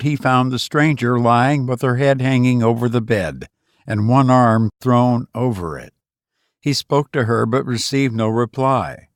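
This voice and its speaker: A man narrating an old literary story as an audiobook reader, in a measured, solemn storytelling manner. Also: medium pitch, dry recording, studio quality.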